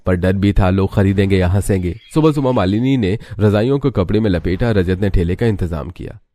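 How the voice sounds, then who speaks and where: A man's voice: sits low, brisk, dark tone, no room sound. A man reading scripted storytelling narration for a cartoon.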